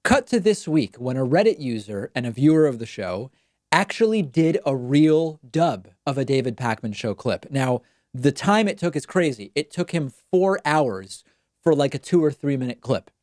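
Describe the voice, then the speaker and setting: Show host, man, native Spanish speaker, mid pitch, dry close mic, chatty delivery. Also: animated, normal speed, darkish tone, dry recording. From Argentina. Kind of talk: political talk show monologue.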